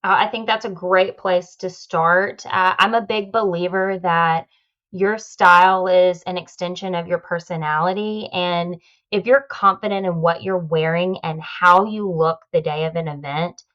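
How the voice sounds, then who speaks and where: Woman, low voice, confident instructive manner, a bit muffled. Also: steady tone, a little slow, a bit bright. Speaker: guest speaker on public speaking. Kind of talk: conversational podcast advice.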